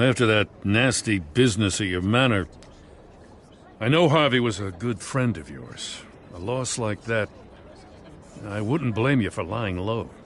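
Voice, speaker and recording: Earnest police chief, expressive delivery. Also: male voice, on the low side, a little hiss or hum. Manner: earnest, plainspoken. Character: middle-aged police commissioner, plainspoken, hopeful, weary. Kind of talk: video game voice acting.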